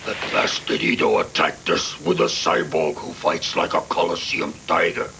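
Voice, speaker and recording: Deep gravelly cyborg brute. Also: male voice, normal speed, bright, forceful tone, slight background noise, heavily acted. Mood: desperate, growling. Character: hulking vengeful cyborg brute, ex-arena champion, menacing. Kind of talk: anime dub acting. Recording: worn VHS tape dub with music behind.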